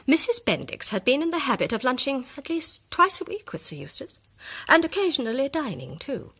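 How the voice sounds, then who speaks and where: A woman playing a shrewd, self-assured amateur detective, setting out her case articulately and deliberately in radio drama style. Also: high voice, very animated, fairly bright tone, heavily acted. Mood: confident, deliberate. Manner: articulate, deliberate, theatrical reveal. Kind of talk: British radio drama acting.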